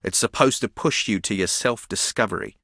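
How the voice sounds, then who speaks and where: A man's voice: fairly low pitch, a little fast, fairly bright tone, no echo, clean studio sound. An actor playing a dreamy, easily confused young man in a video game, earnest, naive and meandering as he muses.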